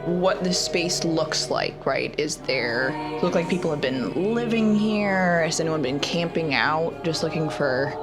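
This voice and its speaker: A woman's voice: sits low, expressive, measured pace, few pauses. A woman talking casually as a tabletop roleplay player, thinking aloud as she describes what her character does. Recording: prominent music bed. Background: background music score.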